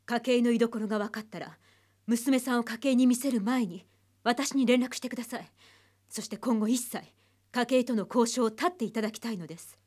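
An actress playing a stern, composed psychiatrist, speaking formally and bluntly in measured phrases in an anime radio drama. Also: female voice, fairly high pitch, a little mellow, close to an everyday voice. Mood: grave, firm.

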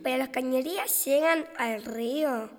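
A woman acts a naive young child in a children's radio drama, full of wide-eyed questions. The high voice has a Rioplatense Argentine accent and rings in a long reverb. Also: measured pace. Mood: amazed, doubting. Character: curious, naive young child at bath time.

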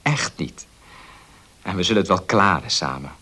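An actor playing a sober young student who speaks in an earnest, reassuring way in a Dutch radio play. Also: male voice, low-pitched, expressive, moderate pace, bright, forceful tone, heavily acted. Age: young adult.

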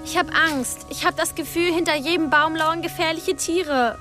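A woman's voice: extremely high pitch, moderate pace, sharp tone, faint music behind. An actress playing a timid but knowing twelve-year-old island girl in a radio play, earnest and confiding. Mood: frightened.

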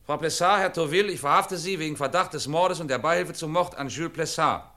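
An actor playing a stern, insistent police inspector who questions in a clipped, pressing way, in radio crime drama style. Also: male voice, a little high, brisk, pushed and bright. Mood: accusing, stern.

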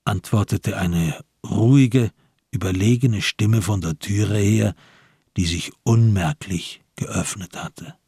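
A man narrating a literary crime radio play in a measured, deliberate and dramatic way. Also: lowish voice, a little mellow, slightly rough, no echo, studio quality.

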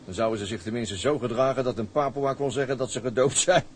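A man's voice: medium pitch, fast, a little hiss or hum, close to an everyday voice. An actor playing a grumbling, world-weary seaman on a tug, with a Dutch accent. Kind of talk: film drama acting.

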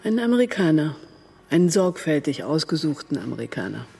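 A woman's voice: deep voice, big pitch swings, brisk, gravelly, mellow, slightly rough, faint music behind, full character voice. An actress playing a shrewd, worldly German woman agent in a radio crime drama, cool and matter-of-fact in manner. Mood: knowing, matter-of-fact.

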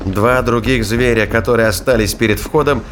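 A man's voice: medium pitch, steady tone, normal speed, fairly bright tone, slight background noise. A man giving a dramatic scripted narration of a science fiction thriller. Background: music.

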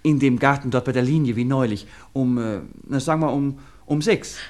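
Young Viennese gentleman lover played by an actor: mid-pitched, subdued, affectionate, intimate radio drama delivery. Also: brisk, slight background noise, close to an everyday voice. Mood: weary, affectionate. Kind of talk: German-language radio drama acting.

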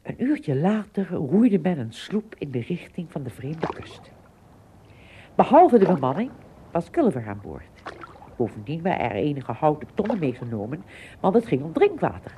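A woman narrating a scripted story in an expressive, measured storytelling manner. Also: sits low, slight background noise.

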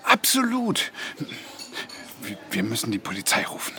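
An actor playing a hesitant, stammering retired widower caught up in a radio crime drama. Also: male voice, medium pitch, wildly swooping pitch, moderate pace, bright, forceful tone, slight background noise, exaggerated voice. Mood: urgent, nervous. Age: older. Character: retired widower, smitten, hesitant amateur sleuth.

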